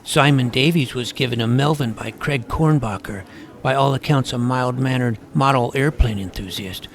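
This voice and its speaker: Man as a creaky-voiced nerdy schoolboy narrator. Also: medium pitch, normal speed, close to an everyday voice. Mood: earnest narrating. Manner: precise, wordy, geeky. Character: nerdy, earnest junior high schoolboy, wordy would-be ladies' man. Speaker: narrator and lead character. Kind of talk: comedic audio drama narration and dialogue.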